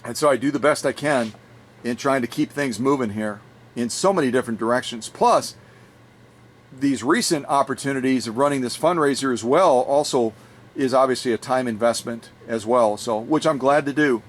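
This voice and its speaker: A man's voice: medium pitch, moderate pace. A middle-aged man hosting a casual vlog from his home workshop, chatting with viewers in a rambling way, often repeating and restarting phrases. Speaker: vintage sewing machine restorer and channel host.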